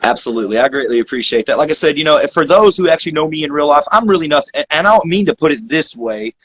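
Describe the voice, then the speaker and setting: A man's voice: a little high, expressive, fast talker, a bit bright, slight background noise. A middle-aged man with a Southern accent, hosting a casual livestream interview in a folksy, effusive way. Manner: folksy and effusive, thanks guests at length. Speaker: interview host.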